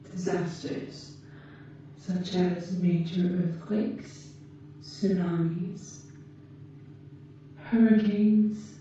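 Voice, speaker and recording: A very soft, dark-voiced female alien, acted by a woman, tends to a patient in an ASMR roleplay. She speaks slowly and gently, with formal, measured wording and a calm, caring temper. The recording is very echoey, as if at some distance from the mic. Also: medium pitch. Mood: calm, matter-of-fact. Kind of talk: ASMR roleplay, scripted monologue to the listener. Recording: muffled, echoey, distant, faint noise.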